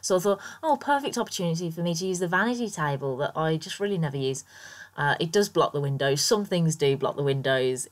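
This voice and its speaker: Woman, low voice, croaky just-woken-up tone, chatty, rambling with ums, casual game voiceover. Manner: chatty and rambling, lots of ums and little laughs. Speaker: Sims builder YouTuber.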